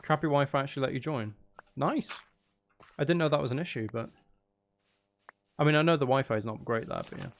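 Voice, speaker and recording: A man's voice: mid-range voice, a little fast, pauses often, slight background noise. A man hosting a live stream, chatting casually with viewers in a rambling, chatty way.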